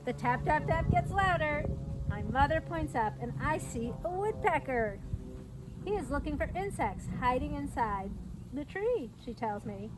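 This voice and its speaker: A middle-aged woman reads a picture book aloud to young children outdoors, adding simple explanatory asides. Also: a little high. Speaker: storytime reader, parks staff. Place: outdoors at an open trailhead by a fence. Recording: outdoor recording with clear background noise.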